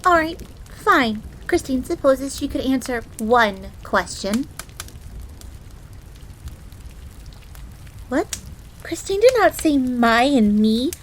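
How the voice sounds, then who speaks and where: Tsundere fox maid for audio rp, high voice, jealous n huffy, gets flustered easy. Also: female voice, lively intonation, slow, fairly bright tone, slight background noise, full character voice, low-quality recording. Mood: defensive, huffy. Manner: indignant, stammering when flustered, refers to herself in third person. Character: flustered, jealous fox maid, haughty yet self-deprecating tsundere servant. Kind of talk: roleplay audio drama.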